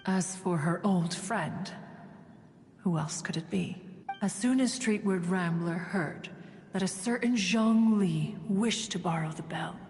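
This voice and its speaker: A woman's voice: lowish voice, takes it slowly, a little mellow, in a large hall, a little hiss or hum. A woman playing a proud, ancient, aloof immortal who tells stories in formal, archaic, stately speech in a video game. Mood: knowing, measured. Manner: formal, stately, archaic storytelling, refers to self as "one". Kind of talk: scripted video game dialogue.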